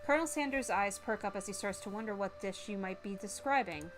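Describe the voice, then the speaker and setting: A woman streamer reading a visual novel aloud in a theatrical, expressive way. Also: mid-range voice, brisk, music in the background.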